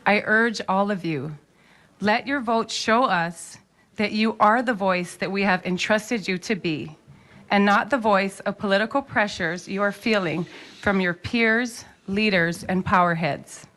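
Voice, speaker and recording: A woman's voice: mid-range voice, unhurried, fairly bright tone. A woman giving formal public testimony, speaking in a pleading, pointed and urgent way.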